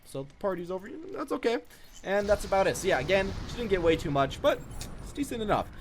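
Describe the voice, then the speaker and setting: A man's voice: high-pitched, big pitch swings, fast talker. A young man giving casual, off-the-cuff commentary as he shows off items in a video game. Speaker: gaming video creator. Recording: noticeable background noise.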